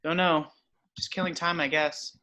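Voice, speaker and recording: A man's voice: a little high, flat delivery, normal speed, bright, forceful tone. A man reading as a shy, bookish teenage boy, hesitant and earnest in a table read.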